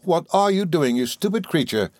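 A gentle young mother from a storybook, played by a male narrator. She speaks in a tender, genteel way with a fragile air. The sound is dry and close. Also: a little high, very animated, normal speed, no echo, voice pushed up. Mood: playful, teasing. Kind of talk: audiobook character voice.

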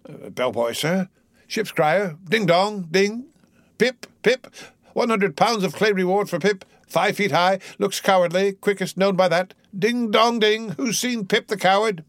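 A man's voice: high voice, a little slow, fairly bright tone, dry recording, pitched above the performer's own voice. A man voicing a half-mad, frightened young cabin boy in an audiobook, with a singsong, rambling manner. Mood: frantic singsong.

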